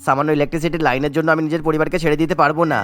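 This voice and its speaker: A man's voice: fairly high pitch, level tone, racing, fairly bright tone, faint music behind, natural voice. A man playing a remorseful older father-in-law in a cartoon story. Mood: frightened, apologetic.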